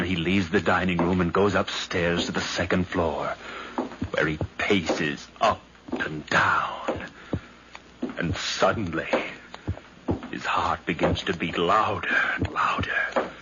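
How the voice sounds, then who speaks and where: Noisy old radio drama: obsessed nephew, deep, halting, hushed, unraveling. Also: male voice. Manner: halting, brooding, often breaking into hushed whispers. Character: nervous, obsessed nephew narrating his descent into madness. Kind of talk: 1950s radio drama acting. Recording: noisy, muffled 1951 radio recording.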